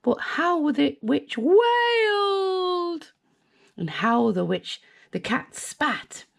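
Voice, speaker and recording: British woman storyteller with wildly lively pitch. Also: drawn-out words, gravelly. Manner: expressive, sing-song delivery with asides to young listeners. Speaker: storyteller, children's channel host. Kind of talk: read-aloud children's picture book storytelling.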